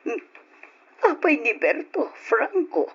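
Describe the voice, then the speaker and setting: An actress playing an older mother and wife in a radio drama, soft and expressive, sighing with worry. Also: high-pitched, slow pace, heavily acted. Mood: worried. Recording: lo-fi old radio drama recording.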